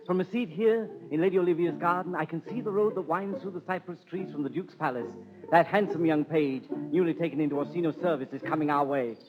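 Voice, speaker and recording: Man playing a jesting clown in old radio shakespeare, high voice, muffled sound, faint music. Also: fast, natural voice. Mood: sly, confiding. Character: jesting male clown of a countess's household. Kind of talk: 1930s radio Shakespeare acting.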